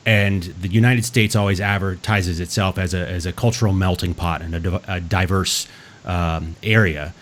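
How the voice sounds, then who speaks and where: A male interviewer with a somewhat low voice puts his questions to a guest. His phrasing is measured and hesitant, and he often stops on fillers before reaching his point. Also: fairly even intonation, a little hiss or hum.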